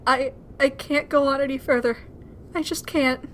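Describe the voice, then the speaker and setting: Woman voicing an exhausted high-voiced mare. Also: steady tone, normal speed, a little hiss or hum, natural voice. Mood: despairing. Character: exhausted pregnant mare, frightened and despairing. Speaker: voice actor. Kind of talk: fan audio drama acting.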